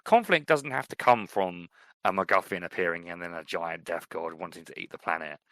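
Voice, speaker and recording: A man's voice: low-pitched, brisk, a bit bright, no room sound, studio quality. A man co-hosting a podcast in casual chat, opinionated, with many restarts and fillers. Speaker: podcast co-host.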